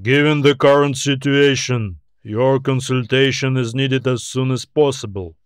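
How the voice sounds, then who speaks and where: A man's voice: steady tone, unhurried, smooth, no room sound, clean studio sound, lightly acted. A man voicing a dutiful alien soldier in an audiobook, giving a formal military report with a murmuring tone. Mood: formal, urgent. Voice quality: murmuring bass, muffled.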